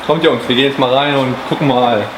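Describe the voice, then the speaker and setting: A man's voice: mid-range voice, fairly even intonation, fast. A man from a metal band joking with his bandmates in the studio. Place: recording studio. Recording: noisy studio, voice off-mic.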